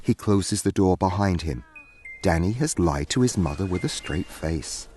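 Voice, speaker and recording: A man narrating a scripted story in a theatrical, deliberate storyteller's manner. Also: low voice, expressive, mellow, soft background music.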